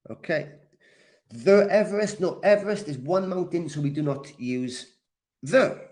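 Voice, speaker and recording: A man from Wales teaching a live English class, deliberate and encouraging, repeating key words for learners as he responds to comments. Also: a little high, darkish tone. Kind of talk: live grammar lesson, reacting to comments.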